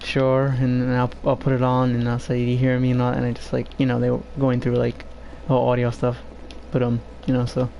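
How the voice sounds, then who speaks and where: A shy male streamer with a low voice, talking in an even, flat tone. He hesitates and fills gaps with "you know" and "like". A home desk microphone picks him up over a faint hiss. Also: a little fast, gravelly, hardly any pauses, lo-fi sound. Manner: soft-spoken, shy and hesitant, many fillers. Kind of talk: casual gameplay stream chat.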